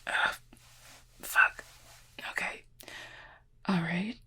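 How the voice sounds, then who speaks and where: A woman playing an injured, proud and guarded cupid in an intimate audio roleplay, speaking formally and wryly in a husky, pained voice with weary sighs. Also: sharp tone, in a whisper. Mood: pained, strained. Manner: formal, wry wording with weary sighs and hesitant pauses. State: in pain, strained.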